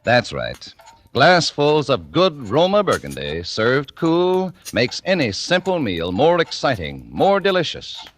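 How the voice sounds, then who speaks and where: A man reads sponsor copy as a vintage radio announcer. His medium-pitched voice swings widely and lands emphatically on selling words, in a polished 1940s style. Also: huge pitch swings, unhurried.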